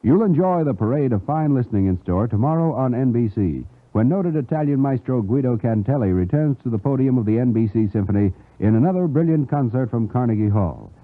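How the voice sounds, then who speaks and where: An American man announces in classic 1950s network radio style. His medium-pitched voice is polished and deliberate. The recording is dry and thin, like an old broadcast. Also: expressive, no room sound, narrow, tinny audio.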